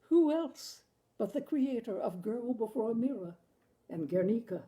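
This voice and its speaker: Slow, mellow woman reading literary fiction. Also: a little high, lively intonation. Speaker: literary reader, storyteller. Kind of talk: expressive audiobook-style story narration.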